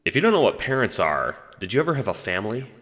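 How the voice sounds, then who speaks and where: A man's voice: lowish voice, big pitch swings, fast, fairly bright tone, long reverb tail, voice pushed up. A man playing a newly dead game player, mild, hesitant and full of questions, in an audio drama. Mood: questioning.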